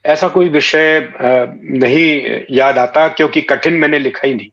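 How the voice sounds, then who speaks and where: A man, giving measured, reflective interview answers phrase by phrase. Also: on the high side, vocal fry, fairly bright tone, muffled recording. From India. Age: 60s. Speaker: interview guest, writer and former broadcaster.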